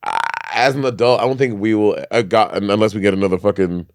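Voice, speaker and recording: A man co-hosting a podcast in casual chat, animated and campy, who swears freely. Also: on the low side, fast. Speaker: podcast co-host.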